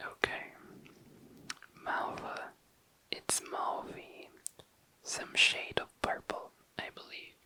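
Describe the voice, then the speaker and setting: An ASMR creator speaking in a breathy, unvoiced whisper, lingering softly over words as in a relaxed show-and-tell, close to the microphone. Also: slow pace, pauses often, rough recording.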